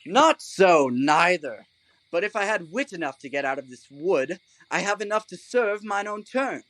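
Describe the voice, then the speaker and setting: An actor playing a pompous, oblivious and stubborn weaver who fancies himself an actor, in a comic Shakespearean audio play. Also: male voice, sits high, sing-song, normal speed, fairly bright tone, no room sound, low-quality recording. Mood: matter-of-fact. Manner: hammy, declamatory. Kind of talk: theatrical comic Shakespearean audio drama acting.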